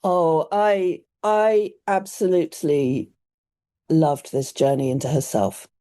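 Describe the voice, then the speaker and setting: A woman with a British accent hosting a poetry podcast, thoughtful and measured as she discusses poems. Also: low voice, dark tone. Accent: British English. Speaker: podcast host. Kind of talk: conversational podcast chat.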